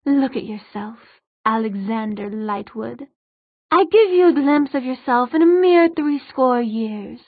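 A haughty fairy queen of ageless cunning, as a woman narrator acts her out. Her voice is on the high side and close and dry. She speaks in formal, imperious phrases, as a storybook ruler who looks down on her listeners. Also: normal speed, husky, dry recording, phone-quality sound. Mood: gloating.

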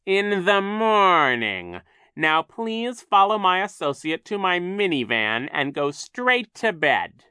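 Storybook villain sea captain, fake sweet n sneering, pitched up, swoops around. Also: male voice, lively intonation, bright, forceful tone, smooth, no echo, studio quality, heavily acted. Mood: rising anger. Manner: falsely soothing, sneering, drawn-out delivery.

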